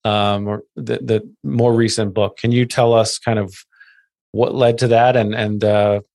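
Brief: male podcast host, lowish voice, rambling filler-laden questions, dry close mic. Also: flat delivery, measured pace, vocal fry, darkish tone, dry recording. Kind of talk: conversational interview.

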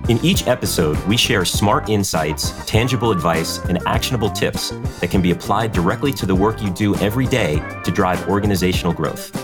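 Lower-voiced conversational man host. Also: a little fast, hardly any pauses, music in the background. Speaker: podcast co-host, sales leader. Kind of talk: podcast hosting.

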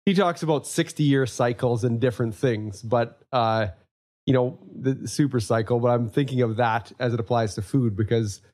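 A man in his forties hosting a podcast, talking in a relaxed, chatty way with plenty of fillers. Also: mid-range voice, normal speed. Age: 40s. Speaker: podcast host. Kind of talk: casual interview chat.

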